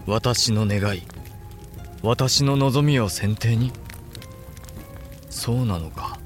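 An actor playing a restless, ambitious samurai lord in a Japanese period audio drama, speaking in grand archaic language over music. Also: male voice, medium pitch, drawn-out words. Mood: brooding. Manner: brooding, then grandly fervent archaic speech.

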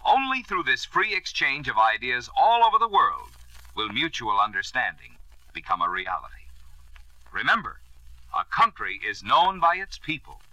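A man's voice: medium pitch, very animated, moderate pace, sharp tone, dry recording, low-quality recording. A man reading a scripted 1950s radio public service announcement in an earnest, emphatic and formal way.

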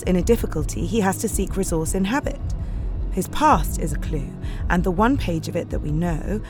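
An actress playing a sharp young Victorian woman who sets out her reasoning in a measured way, in an audio drama. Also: fairly low pitch. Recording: music clearly underneath.

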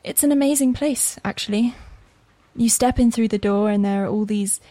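An actress playing a wry, chatty shop worker who confides in the listener in an audio fantasy drama. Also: female voice, medium pitch, moderate pace, warm tone, dry recording, natural voice. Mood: playful, enthusiastic. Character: wry, chatty young woman working in a magic shop. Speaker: narrating lead character of an audio fiction series. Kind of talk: intimate conversational first-person dramatic narration.

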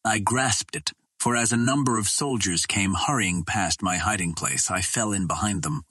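A man narrating a classic adventure novel in a measured, formal storytelling way. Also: fairly low pitch, fairly bright tone, slightly rough, no room sound. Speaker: audiobook reader.

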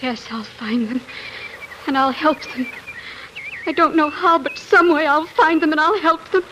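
Young fairy-tale princess, earnest, high voice, 1940s radio acting, dull recording with faint noise. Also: female voice, unhurried. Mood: hopeful. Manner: earnest, heartfelt. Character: gentle, devoted young princess seeking her brothers.

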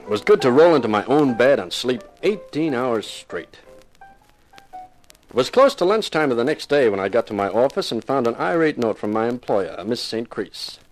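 Actor as wry, animated hard-boiled private eye. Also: male voice, mid-range voice, moderate pace, faint music behind, close to an everyday voice, rough recording. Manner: wry, tough-talking. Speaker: lead actor and narrator. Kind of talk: 1950s radio detective drama, first-person narration and dialogue.

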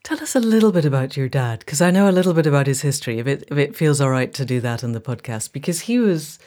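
Low-key, earnest woman podcast host. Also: lively intonation, fast talker, dark tone. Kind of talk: scripted podcast introduction, then conversational interviewing.

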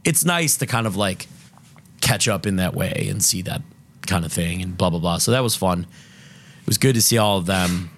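A man's voice: deep voice, expressive, normal speed, fairly bright tone, slight background noise. A man co-hosting a podcast, chatting casually and telling loose, profane stories full of fillers. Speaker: podcast co-host. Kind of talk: casual chat.

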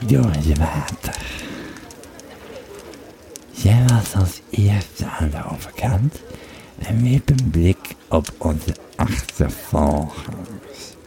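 A man playing a Roman legionary who tells his war story in an audio drama, with a gravelly, halting, dramatic delivery. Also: low-pitched, mellow, exaggerated voice. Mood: tense, haunted. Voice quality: gravelly, creaky. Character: Roman legionary recounting his harrowing escape and mission. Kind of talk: dramatised first-person storytelling in an audio play. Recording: busy crowd chatter behind the voice. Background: crowd noise.

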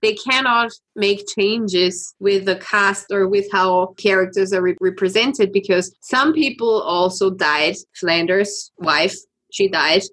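A woman from Austria with as her chats her way through news stories as a podcast co-host. She talks loosely, thinks out loud and laughs often, at a middle pitch. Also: slow pace, few pauses. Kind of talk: casual news chat.

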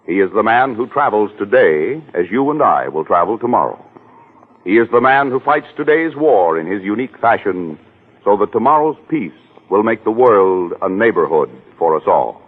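A man's voice: lowish voice, very animated, normal speed, slightly rough. An American man narrating a 1940s radio drama in a dramatic, declamatory style. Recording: old narrow-band 1940s radio, reverberant, music.

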